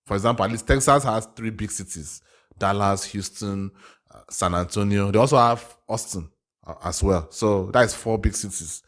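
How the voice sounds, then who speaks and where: A man with an African accent talks as the host of a reaction video. His voice sits on the low side. He works through his thoughts out loud, hesitating and circling back over his own phrases in a casual way. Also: moderate pace. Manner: hesitant, repetitive, thinking aloud.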